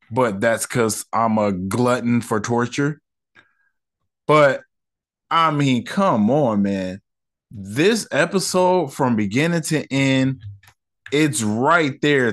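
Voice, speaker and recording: Laid-back man hosting a solo review podcast. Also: medium pitch. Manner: relaxed and conversational, with slang and frequent fillers. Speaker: podcast host reviewing an episode.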